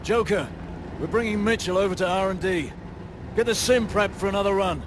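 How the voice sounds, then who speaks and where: A man's voice: sits high, a little fast, noisy background, natural voice. An actor playing a tough special forces squad leader in his thirties in a video game, curt and military. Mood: stern, brisk. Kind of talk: cinematic video game acting.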